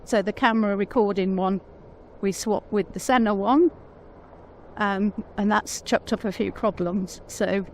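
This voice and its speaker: A woman with a naturally quiet voice, chatting casually on a vlog and laughing often. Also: medium pitch, fairly even intonation, measured pace, a little hiss or hum. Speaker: motorcycle vlogger, pillion rider. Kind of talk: casual chat.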